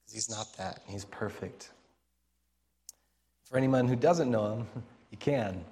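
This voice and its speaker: A man preaching an informal sermon as a pastor, in a raspy, strained voice that he pushes for volume, speaking conversationally and earnestly with pauses mid-phrase. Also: fairly low pitch, mellow.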